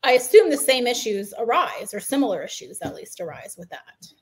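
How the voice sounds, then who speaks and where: Higher-voiced woman lawyer co-host. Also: big pitch swings, fairly quick. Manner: conversational, shares experience, agreeing "right". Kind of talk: video call discussion. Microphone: video call.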